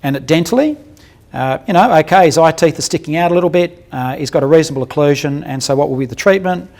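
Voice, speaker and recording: A man with a gravelly, somewhat high voice lectures on dental cases. He talks bluntly and colloquially, slips in jokes, and puts questions to his audience. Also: lively intonation, fast, a little mellow. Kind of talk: clinical lecture with case examples.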